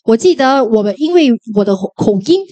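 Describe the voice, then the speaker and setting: Quick, fairly high woman interpreter. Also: lively intonation, mellow. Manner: quick, with brief restarts while translating. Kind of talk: consecutive sermon interpretation.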